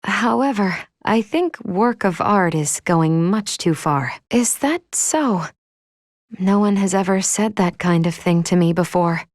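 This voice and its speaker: A woman playing a polite, earnest female android in a video game, speaking in formal, precise, measured phrases. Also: mid-range voice, expressive, dry recording, clean studio sound, close to an everyday voice. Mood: modest, surprised.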